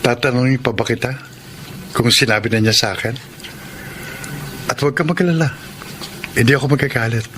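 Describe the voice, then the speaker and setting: An actor playing an insistent, jealous but forgiving sweetheart in a radio drama, speaking deliberately with a Filipino accent. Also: male voice, mid-range voice, lightly acted. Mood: probing, reassuring. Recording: clearly noisy background ambience.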